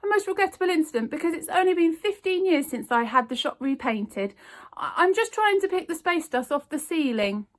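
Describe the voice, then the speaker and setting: A woman's voice: lively intonation, fairly quick. A woman voicing a timid, jittery male shopkeeper whose voice shakes and stammers. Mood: flustered. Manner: high, shaky and stammering.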